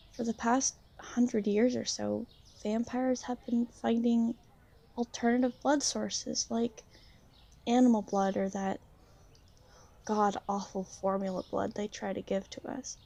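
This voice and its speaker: A woman playing a shy, gentle young vampire in an ASMR roleplay, speaking softly and hesitantly to the listener. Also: mid-range voice, fairly even intonation, vocal fry. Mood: earnest, gentle. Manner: hesitant, soft-spoken, gently halting. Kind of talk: ASMR roleplay, soft intimate talk to the listener.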